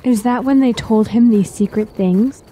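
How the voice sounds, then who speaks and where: Woman playing a very mellow, concerned fantasy woman. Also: a little high, moderate pace, breathy edge. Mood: questioning. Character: concerned, questioning woman of fantasy warrior people. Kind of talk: radio drama acting.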